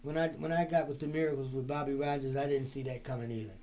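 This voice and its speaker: A man's voice: medium pitch, fairly even intonation, fast, a little mellow. A man hosting a live talk show, speaking casually with many fillers and restarts. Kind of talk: casual live-stream interview.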